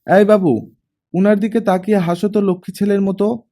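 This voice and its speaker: A man's voice: sits high, fairly even intonation, a little fast, warm tone, pitched above the performer's own voice. A man voicing an eager, chirpy young daughter in an audiobook.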